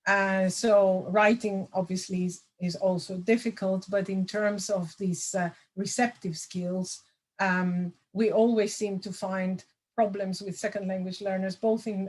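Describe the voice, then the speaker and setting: A middle-aged woman, a lecturer answering audience questions over a video call, thoughtful with many pauses and fillers. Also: mid-range voice, little pitch movement, darkish tone, breathy edge. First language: Greek. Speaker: language assessment lecturer.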